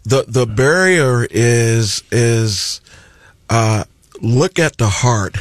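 A man with a deep voice, a guest on a radio talk show, speaking plainly and deliberately. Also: expressive, no echo, clean studio sound. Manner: slow, deliberate, plain-spoken. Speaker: guest, community activist. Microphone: studio microphone.

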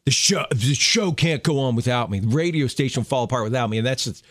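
A man's voice: medium pitch, fast, fairly bright tone, no echo. A man co-hosting a radio show, chatting in an easygoing way and chuckling often from the studio. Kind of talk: casual radio chat. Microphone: studio microphone.

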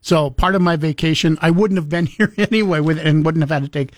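A man's voice: a little high, brisk, dry recording, studio quality. A man co-hosting a casual morning radio show, chatty and storytelling, laughing often. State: recovering from lost voice.

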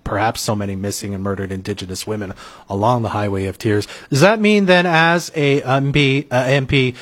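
A man's voice: mid-range voice, brisk, a bit bright. Hesitant male radio host with fillers. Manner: hesitant, with many filler sounds. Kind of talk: radio interview. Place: radio studio.

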